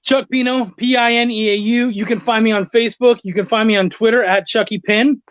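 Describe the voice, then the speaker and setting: A man with a notably high-pitched voice chats casually as a guest. He speaks in an informal, slangy way. The recording is dry and somewhat dull, like lo-fi call audio. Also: fairly even intonation, a little fast, clean tone, dry recording. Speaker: guest, comics writer and editor. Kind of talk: casual video chat.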